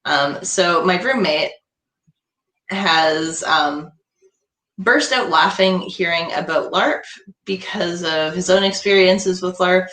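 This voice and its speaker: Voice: mid-range voice, slow pace, bright, forceful tone, reverberant. A young adult host chatting casually on a stream, easygoing and laughing often between filler words. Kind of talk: casual podcast chat. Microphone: headphones while streaming.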